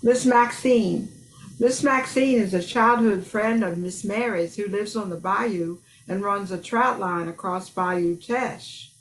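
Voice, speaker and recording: An older woman reads a scripted introduction slowly in a mid-pitched voice. Faint background noise sits under the recording. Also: low-quality recording. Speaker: amateur cast member.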